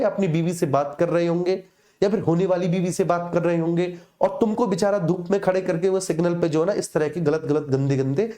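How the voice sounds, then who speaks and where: Mellow-voiced man teaching informally. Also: high voice. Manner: conversational, explains with hypothetical examples, repeated check-in tags. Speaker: teacher for police exam coaching. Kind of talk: informal exam lesson.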